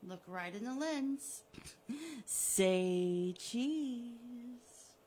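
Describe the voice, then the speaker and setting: A woman's voice: a little high, lively intonation, drawn-out words, breathy edge, exaggerated voice. A woman doing a gloating, sadistic male killer. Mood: taunting. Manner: mocking, softly menacing, drawn out.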